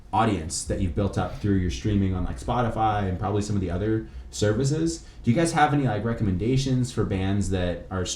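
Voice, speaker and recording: Low-voiced man chatting in an echoey room. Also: a little mellow. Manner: rambling, slangy, heavy use of "like". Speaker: podcast host interviewing a band. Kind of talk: casual chat. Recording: echoey room with faint background noise.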